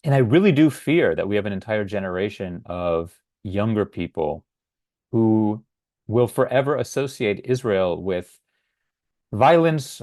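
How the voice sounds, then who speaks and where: Podcast host, middle-aged American man, lowish voice, earnest and conversational, dry close mic. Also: darkish tone, no echo. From United States. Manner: conversational, earnest, with occasional uh fillers. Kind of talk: solo podcast monologue.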